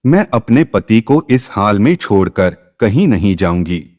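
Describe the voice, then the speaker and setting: A man's voice: fairly low pitch, big pitch swings, a little slow, a little mellow. A man voicing a devoted young wife in a moral story, respectful, earnest and resolute. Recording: long reverb.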